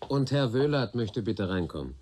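A man's voice: mid-range voice, big pitch swings, moderate pace, darkish tone, a little hiss or hum, natural voice. A male actor playing a shrewd, dry official questioning witnesses in a radio play, formally polite and probing. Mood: businesslike. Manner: formally polite, wry, inquisitive. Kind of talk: German radio play acting.

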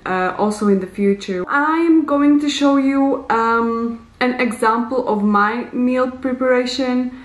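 A woman in her thirties giving deliberate, simply worded advice to camera in slightly non-native English, in a casual instructional vlog recorded in a small bare room. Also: a little high, roomy sound. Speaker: vlogger, host.

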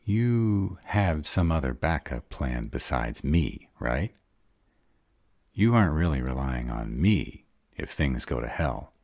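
A man's voice: a bass voice, a little mellow, no echo, clean studio sound, exaggerated voice. A man playing a sarcastic, guarded grown vampire who is insecure about love, in an audio roleplay. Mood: unsure, vulnerable. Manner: sarcastic, wry.

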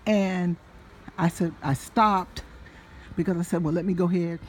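A woman's voice: fairly low pitch, steady tone, a little slow, darkish tone, slight background noise. An older woman chatting in a rambling vlog as she walks along a residential street at dusk. She keeps losing her thread and laughs often, filmed on a handheld phone. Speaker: vlogger. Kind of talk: casual walk-and-talk vlog. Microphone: handheld phone, filming the street ahead while walking.

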